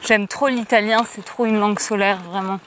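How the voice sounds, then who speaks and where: A woman's voice: medium pitch, steady tone, normal speed, fairly bright tone, a little hiss or hum. A young woman chatting casually on camera while hiking outdoors in the mountains, bubbly and enthusiastic. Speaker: vlogger on a hiking trip.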